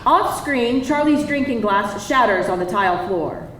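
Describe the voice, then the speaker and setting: Female narrator, scripted stage directions, fairly high voice, echoey hall, live reading. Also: animated, unhurried, fairly bright tone. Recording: echoey live hall, distant, faint noise.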